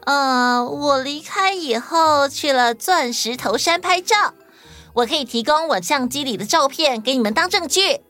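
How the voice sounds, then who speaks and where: A woman voicing a lively female kangaroo who eagerly explains herself in a children's detective story. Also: high voice, measured pace, faint music behind, voice pushed up, exaggerated voice. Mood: proud, eager.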